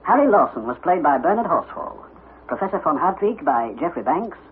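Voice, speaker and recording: A man's voice: a little high, very animated, brisk. A man reading scripted credits as a radio drama announcer. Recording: phone-like vintage radio, faint noise.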